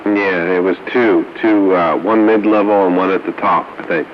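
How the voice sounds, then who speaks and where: A man with a lowish voice chats informally. The two-way radio makes him sound muffled, with obvious noise behind the words. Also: steady tone, moderate pace. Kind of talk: casual two-way radio chat.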